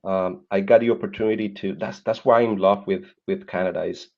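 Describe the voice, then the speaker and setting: A man's voice: low-pitched, fairly even intonation, fairly quick, vocal fry. A man from Colombia with a Colombian Spanish accent, in his thirties, earnestly telling his life story as a podcast guest and often repeating words while he searches for them. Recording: dull lo-fi sound.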